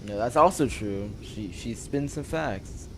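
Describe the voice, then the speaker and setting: A man doing casual gameplay commentary, rambling and halting, often repeating himself as he goes. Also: mid-range voice, expressive, a little hiss or hum, rough recording. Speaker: gamer playing and commenting. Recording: loud game music behind.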